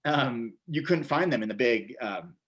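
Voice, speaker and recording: A man's voice: mid-range voice, expressive, a little slow, fairly bright tone. An American man in his forties telling stories casually as an interview guest, rambling and repeating his words as he goes. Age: 40s. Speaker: interview guest, vegan bodybuilder and author.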